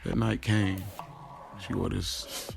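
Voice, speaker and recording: An actor playing a lovestruck young man confiding his secret romance in a casual, slangy audio drama monologue, with a gravelly, husky voice. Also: sits low, flat delivery, takes it slowly, music in the background, full character voice. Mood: happy, smitten. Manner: informal, slangy, acts out quoted dialogue. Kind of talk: first-person audio drama monologue, conversational storytelling.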